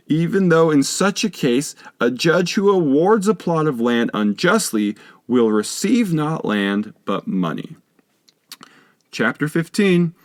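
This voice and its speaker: A man reading a scholarly text aloud as an audiobook narrator, in a measured, formal, lecturing way. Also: on the high side, no echo, clean studio sound. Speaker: audiobook reader.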